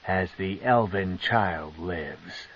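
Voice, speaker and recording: A man voicing a cold, scheming female dark elf matriarch, with a slow, commanding and sneering delivery. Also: sits low, breathy edge, heavily acted. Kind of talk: audiobook character reading. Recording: dull lo-fi tape.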